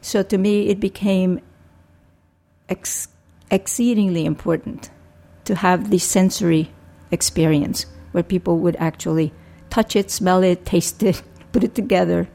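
An older woman from Rico, a family physician answering interview questions in a measured, thoughtful way. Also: fairly low pitch, fairly even intonation, creaky, warm tone. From Puerto Rico. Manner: measured, thoughtful, with frequent restarts.

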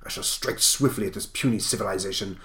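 A man voicing an ancient, villainous high priest spirit from a comic book, grandiose and threatening. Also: fast, fairly bright tone, lightly acted. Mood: menacing. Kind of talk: comic book read aloud.

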